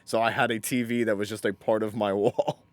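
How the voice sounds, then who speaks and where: A young man with a fairly low voice tells casual, rambling stories as a podcast co-host. He swears freely and puts himself down as he goes. The recording is dry, with little room sound. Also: fairly quick, dry recording. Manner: animated, rambling, self-deprecating, profane. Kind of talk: casual banter and storytelling.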